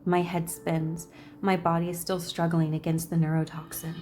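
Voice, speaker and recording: Sassy woman with a lowish voice. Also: moderate pace. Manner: sassy, blunt, swearing, expressive. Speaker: video creator reacting to a game. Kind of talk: casual commentary with dramatic read-aloud.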